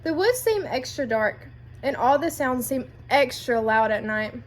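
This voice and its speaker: A woman's voice: high voice, animated, measured pace. A woman reading a children's picture book aloud as a storyteller, addressing young listeners with guiding questions.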